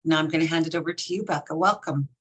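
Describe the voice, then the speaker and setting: A woman's voice: sits low, fast, slightly rough. A woman hosting an online event, giving a prepared introduction for a presenter. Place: indoors, online video call.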